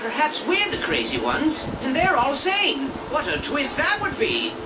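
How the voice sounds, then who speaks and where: A man's voice: extremely high pitch, huge pitch swings, unhurried, full character voice. A man playing a zany, melodramatic, gleefully morbid storybook narrator in a comic video game, addressing listeners like children and swinging into asides. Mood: grand, comic. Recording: noisy, distant game capture.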